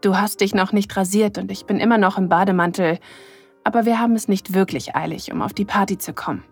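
Audio drama lead, woman: mid-pitched, tender and sensual, eager lover telling the story intimately, light music underneath. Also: fast talker, husky. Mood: affectionate, reflective. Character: affectionate, eager woman in love with her partner. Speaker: narrator and lead character. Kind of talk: intimate second-person audio drama narration.

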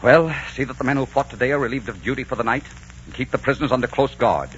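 An actor playing a commanding, lovestruck Spanish conquistador in a 1940s radio drama. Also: male voice, mid-range voice, quick pace, a little hiss or hum, close to an everyday voice. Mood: brooding, tender. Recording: dull 1940s radio recording.

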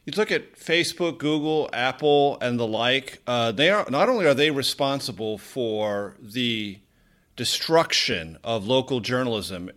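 A man hosting an interview show, speaking in brisk, polished host patter. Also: medium pitch, expressive, a bit bright. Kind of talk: radio-style interview hosting.

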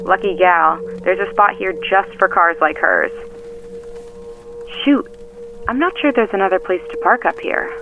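Female amateur tail, lively intonation, chatty, hesitant, slightly flustered, audio drama dialogue, music and traffic behind. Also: medium pitch, measured pace, narrow, tinny audio. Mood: focused. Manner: conversational, hesitant play-by-play reporting. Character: woman tailing a suspect by car, chatty, slightly flustered.

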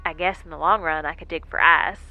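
A woman's voice: deep voice, fairly even intonation, brisk, phone-quality sound. A woman playing a stranded, injured space explorer in a sci-fi audio drama, with a wry, folksy way of muttering asides. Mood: wry, weary.

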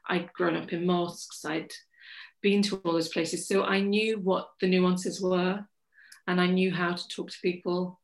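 A woman from England, a journalist telling her story in a forthright, lively interview. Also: fairly low pitch, measured pace, gravelly. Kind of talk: candid conversational storytelling. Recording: echoey room.

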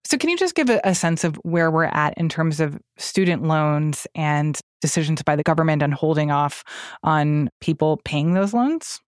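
A woman's voice: deep voice, lively intonation, normal speed, smooth, no room sound, clean studio sound. A woman hosting a news podcast, asking questions in a conversational way. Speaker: podcast host.

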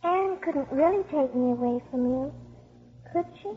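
A woman's voice: sits high, unhurried. A woman playing a tough, slangy eleven-year-old girl devoted to her father in a 1950s radio drama. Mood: worried. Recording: muffled old radio recording, faint music.

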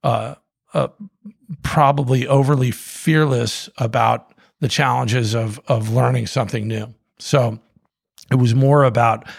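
Gravelly husky guy, middle-aged, rambling on, lots of um and uh, dry close sound. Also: medium pitch, fairly even intonation, measured pace, dry recording. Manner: rambling, many restarts and fillers. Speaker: guest, coffee company co-founder. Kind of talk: casual storytelling.

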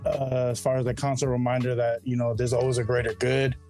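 A man's voice: mid-range voice, little pitch movement, a little fast, creaky, a little mellow, faint music behind. A middle-aged man talking as a podcast guest, laid-back and rambling, full of slang and "you know what I mean". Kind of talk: casual interview chat.

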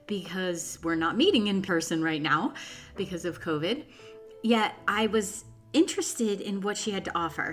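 A woman hosts a podcast in an informal, conversational style. Her voice sits at a middle pitch for a woman, and she talks in a chatty way, as if directly to listeners and guests. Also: a bit bright. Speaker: podcast host. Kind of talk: conversational podcast introduction and interview.

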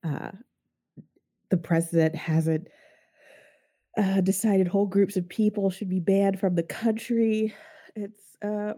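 A woman's voice: medium pitch, dark tone. Congested nasal woman podcast host. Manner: chatty, self-mocking, laughs often. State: congested from a sinus infection. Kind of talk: casual podcast chat.

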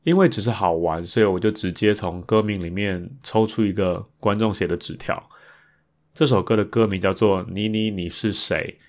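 Male podcast host, low-pitched, Taiwanese Mandarin accent, casual solo chat. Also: fairly even intonation, moderate pace, a little mellow.

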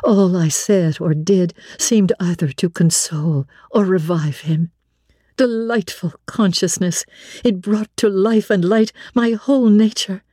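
A woman narrating classic literature in the first person, with measured, expressive, dramatic phrasing. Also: mid-range voice, dry recording, clean studio sound.

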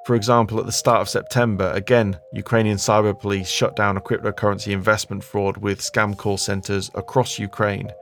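Male documentary narrator, gravelly and lowish, measured delivery. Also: little pitch movement, fairly bright tone, soft background music.

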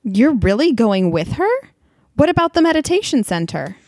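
A woman playing a flippant, self-serving cult leader in an audio drama, with a glib, deadpan way of talking. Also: fairly high pitch, moderate pace, no echo, studio quality.

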